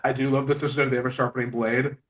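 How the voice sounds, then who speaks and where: A man of average pitch hosts a casual interview, sounding set back from the microphone. He talks informally, with an agreeable and enthusiastic manner. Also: level tone, very fast. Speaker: interview host. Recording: distant from the mic.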